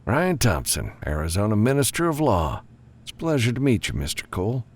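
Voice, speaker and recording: Man as a formal, officious government minister, lively intonation. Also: fairly low pitch, brisk, pitched above the performer's own voice. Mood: courteous. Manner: polished, officious. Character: formal, self-assured male government minister. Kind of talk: audiobook character dialogue.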